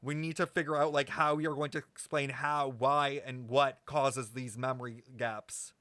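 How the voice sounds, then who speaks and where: A man streaming casual game commentary, talking to chat in an animated, slangy, sweary way. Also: medium pitch, a little slow, no echo. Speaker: streamer reacting to a video game. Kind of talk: casual livestream commentary and lore speculation.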